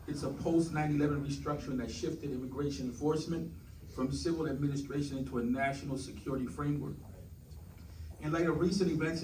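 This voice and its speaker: A man moderating a candidate forum, introducing the questions in a measured, informative way. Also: mid-range voice, darkish tone, echoey room, distant mic, slight background noise, low-quality recording. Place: large event hall.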